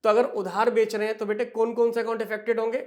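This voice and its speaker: A man teaching accounting in a classroom lecture style. He addresses the students fondly, poses questions and then answers them himself, and slows right down when he dictates entries. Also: sits high, level tone, a little mellow. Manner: slow, drawn-out dictation of entries.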